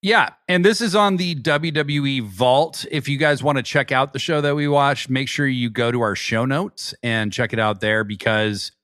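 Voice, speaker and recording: A man speaks steadily on a podcast, mixing show plugs with deadpan jokes. His pitch sits in the middle range. The sound is dry and close. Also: normal speed, few pauses, dry recording. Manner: steady, matter-of-fact, dry humor. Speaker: podcast co-host. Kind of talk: casual chat, show plugs.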